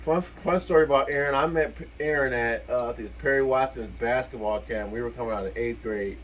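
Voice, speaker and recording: A man co-hosting a casual vlog, giving informal, appreciative shout-outs in a heartfelt way. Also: mid-range voice, a little fast, slight background noise. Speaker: co-host of a video channel. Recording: music playing behind the voice. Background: background music.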